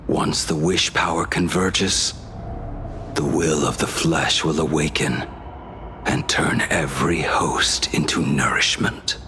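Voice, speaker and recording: A man acting a grave video game figure who gives ominous warnings in a deliberate, solemn and gravelly way. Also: a bass voice, steady tone, background noise. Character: grave, weary male warning of a grim conspiracy.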